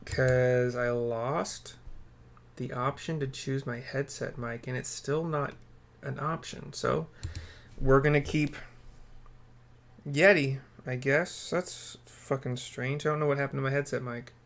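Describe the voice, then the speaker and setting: A man's voice: mid-range voice, steady tone, slow, gravelly. Rambling man doing casual game commentary. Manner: rambling, muttering asides, swears. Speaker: gamer narrating a playthrough.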